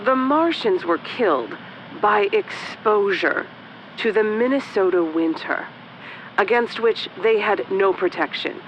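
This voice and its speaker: A woman playing an earnest expert in a comedic radio drama, explaining things deliberately and gravely. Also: mid-range voice, big pitch swings, slight background noise. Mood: mock gravity. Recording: dull sound with faint vehicle-like ambience.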